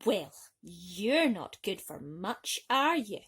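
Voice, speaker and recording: A woman's voice: mid-range voice, big pitch swings, takes it slowly, slightly rough, full character voice. A woman voicing a gloomy, grumbling young man in a storybook, complaining dramatically. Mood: glum.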